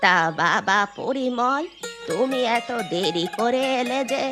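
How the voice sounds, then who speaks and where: A woman voicing a gentle old village granny in a cartoon, speaking in a plaintive, coaxing manner. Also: on the high side, expressive, slow, over music. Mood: pleading, gentle. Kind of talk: cartoon voice acting.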